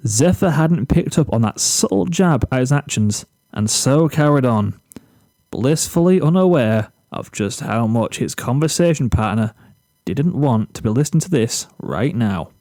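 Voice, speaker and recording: Casting: male fiction narrator, unhurried scripted read, relaxed dark timbre. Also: medium pitch, no echo. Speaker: story narrator.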